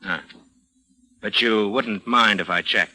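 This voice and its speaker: An actor playing a wry, suspicious police lieutenant with a needling, casual manner in a 1940s radio drama. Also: male voice, lowish voice, slow, pushed and bright, full character voice, low-quality recording.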